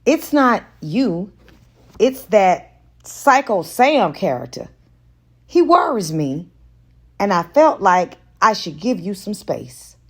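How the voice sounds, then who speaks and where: A woman's voice: mid-range voice, very animated, slow pace, husky, exaggerated voice. A woman narrator voicing a smitten, conciliatory man courting someone in an audiobook romance. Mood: sincere.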